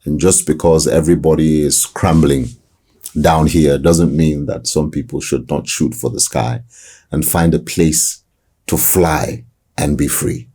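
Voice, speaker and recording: A man who works as a voice-over artist with a deep voice, answering interview questions in a reflective way, often restarting his sentences. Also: steady tone, warm tone, slightly rough. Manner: reflective, hesitant restarts, slow emphasis.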